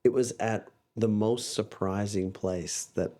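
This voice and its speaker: A man's voice: on the low side, fairly even intonation, a little slow, mellow. A man with a mixed Canadian and Australian accent, talking casually as a podcast guest, rambling and restarting often. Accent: Canadian and Australian mix. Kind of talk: casual interview chat.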